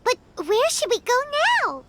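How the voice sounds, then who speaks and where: A woman voicing a tiny, excitable, childlike fairy companion in a video game, with a squeaky, animated delivery. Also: normal speed, background noise. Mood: puzzled, skeptical. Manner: animated, exclaiming. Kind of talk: video game voice acting, scripted dialogue.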